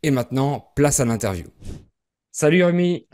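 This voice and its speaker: A man hosts a podcast for French learners and speaks clearly at a measured pace. His voice sits at a typical middle pitch for a man. He grew up in France. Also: expressive, darkish tone. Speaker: podcast host.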